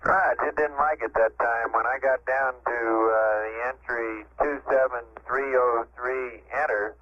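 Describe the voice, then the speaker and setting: A man's voice: medium pitch, steady tone, a little slow, dry recording. A man speaking as an astronaut over radio, reporting procedures in a businesslike, hesitant way. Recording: muffled, hissy 1960s space radio transmission.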